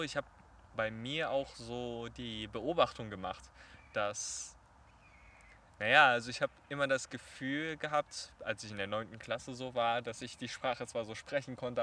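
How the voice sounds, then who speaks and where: Man, bright voice, thoughtful, asks questions, a bit distant from the mic. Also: medium pitch, fairly even intonation, measured pace. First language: Chinese. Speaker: interviewer. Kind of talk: casual conversation. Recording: distant from the mic, faint street noise.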